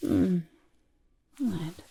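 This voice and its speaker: A woman voicing a smug, eccentric goblin portrait artist in an ASMR roleplay, raspy and muttering, with halting speech that often drops into whispers. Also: mellow, a little hiss or hum. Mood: focused, musing. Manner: halting, muttering, refers to self in third person. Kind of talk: ASMR roleplay, personal attention.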